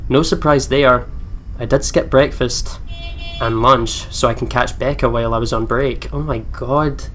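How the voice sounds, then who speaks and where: A man's voice: medium pitch, steady tone, a little slow, fairly bright tone. Guy doing a horror game lets play, reads the text out n reacts as he goes, some noise behind him. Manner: reacts with exclamations and asides while reading. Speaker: let's play host. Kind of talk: game commentary and reading story text aloud. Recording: clear background noise.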